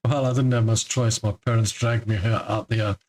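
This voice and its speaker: A man's voice: fairly low pitch, fairly even intonation, measured pace, a little mellow. Chatty trading-stream host, man. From born in Scotland, grew up in South Africa. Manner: chatty, rambling, laughs often. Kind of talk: casual live-stream chat. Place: home in Cape Town.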